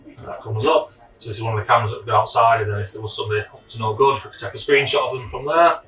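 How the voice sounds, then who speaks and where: A man's voice: on the low side, fairly even intonation, racing, sharp tone. A man giving an informal product demonstration, explaining things in a practical, matter-of-fact way. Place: indoors, echoey room. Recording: echoey room, distant microphone.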